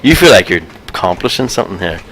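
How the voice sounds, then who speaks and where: A deep-voiced man plays a game and comments casually as he goes. His remarks are clipped, dry and sarcastic, with faint music underneath. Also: very animated, fast talker, a bit bright. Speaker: co-host playing the game.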